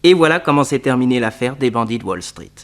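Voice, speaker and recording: An actor playing a detective's loyal, eager young nephew who tells the story in a radio serial. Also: male voice, mid-range voice, animated, fast talker, big-room sound, natural voice. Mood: satisfied.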